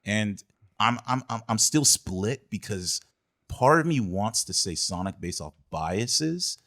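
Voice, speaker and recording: A man's voice: fairly low pitch, expressive, slow pace, fairly bright tone. A man hosting a podcast, chatting casually about films and often rephrasing as he weighs his thoughts. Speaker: podcast host. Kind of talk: casual film discussion.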